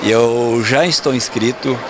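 Older man in his seventies, founder, halting speech, handheld mic on a noisy event stage. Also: mid-range voice. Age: 70s. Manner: hesitant, repeats words, animated gestures. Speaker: company founder and outgoing president. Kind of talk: event interview. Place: event stage backdrop, indoors. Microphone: handheld mic held by interviewer.

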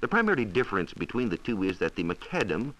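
An American man explaining science in a measured, formal way, as a reporter on a 1940s radio program. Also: on the low side, sing-song, fairly bright tone, slight background noise, low-quality recording. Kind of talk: 1940s radio science talk, part scripted answers. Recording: 1940s radio transcription disc.

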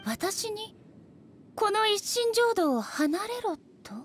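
A woman voicing a composed, serenely proud goddess ruler in an anime game, speaking in measured, formal and polite phrases. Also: very high-pitched, big pitch swings, slight background noise, exaggerated voice.

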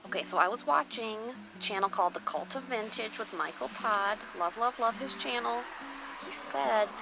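A woman's voice: mid-range voice, gravelly, low-quality recording. A woman chatting casually and exclaiming as she shows things off in an informal, gushing show-and-tell outdoors. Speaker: vlogger showing thrift finds. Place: outdoors on a patio or deck at a table.

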